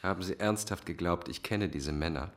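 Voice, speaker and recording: An actor playing a manipulative, menacing psychiatrist in a German radio crime drama, polished, formal and controlled in speech. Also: male voice, sits low, normal speed. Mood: smoothly polite. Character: suave, manipulative, menacing male psychiatrist villain.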